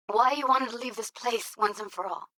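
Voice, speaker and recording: A woman's voice: a little high, pushed and bright, no room sound. A young female technician from a video game, streetwise and guarded with a tender side. She talks casually and bluntly, full of slang, in a naturalistic way. The sound is dry, with hardly any room on it. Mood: hesitant, hurt.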